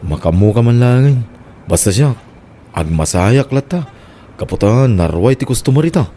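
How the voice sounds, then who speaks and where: A man's voice: medium pitch, expressive, moderate pace, warm tone, slight background noise, natural voice. A man playing an easygoing massage worker in a radio comedy-drama. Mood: exasperated.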